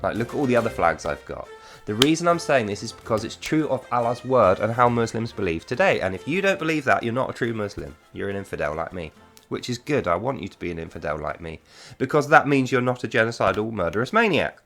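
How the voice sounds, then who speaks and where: A man's voice: lowish voice, very animated, quick pace, fairly bright tone, soft background music. A man recording a response video, arguing and lecturing directly at the viewer in a confrontational tone. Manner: argumentative, lecturing, talks straight at the viewer. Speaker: video creator.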